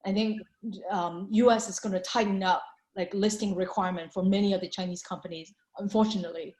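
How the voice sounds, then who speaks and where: A woman's voice: medium pitch, steady tone, brisk, darkish tone. Woman presenting on a video call, chatty with lots of ums, echoey room. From Hong Kong. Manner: chatty, many fillers and restarts. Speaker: venture capital panelist. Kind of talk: presenting slides in an online panel.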